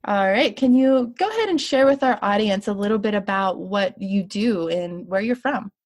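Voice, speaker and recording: A woman's voice: medium pitch, animated, normal speed. A woman hosting an interview podcast, introducing her guest and asking friendly questions. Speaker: podcast host.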